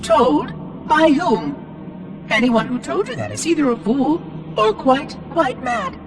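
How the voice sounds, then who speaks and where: 343 Guilty Spark, a prim high-voiced robot. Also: male voice, big pitch swings, full character voice. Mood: fussy. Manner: prim, condescending, quick. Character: fussy chirpy male AI monitor robot.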